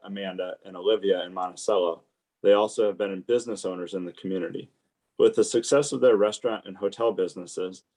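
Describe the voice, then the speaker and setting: A man with a fairly low voice reads a formal introduction of the guest speaker. He goes at a measured pace, with fillers and small restarts along the way. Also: a little mellow. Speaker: day chair introducing the speaker.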